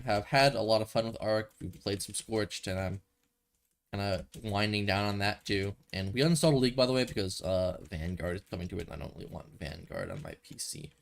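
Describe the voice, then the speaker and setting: Stuffy, rambling young gaming streamer. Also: male voice, on the low side, vocal fry. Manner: rambling, thinks aloud, self-correcting. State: a little stuffy from a cold. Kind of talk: casual gameplay commentary.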